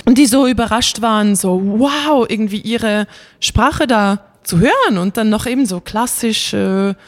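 A woman's voice: fairly high pitch, unhurried. A woman talking casually and reflectively as a guest, enthusiastic and searching for her words. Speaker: interview guest, classical singer.

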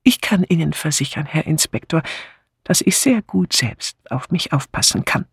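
A man voicing a friendly, chatty old lady in an audiobook, gentle, polite and curious. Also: a little high, animated, normal speed, vocal fry, breathy edge, no room sound, studio quality, voice pushed up, heavily acted. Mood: gently insistent. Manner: gentle, polite, slightly gossipy.

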